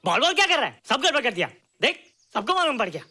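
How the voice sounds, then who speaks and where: An actor playing a childlike, naive, excitable young man who exclaims and repeats himself in a Hindi film. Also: sits high, fast talker, full character voice. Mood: agitated. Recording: film dialogue over faint music.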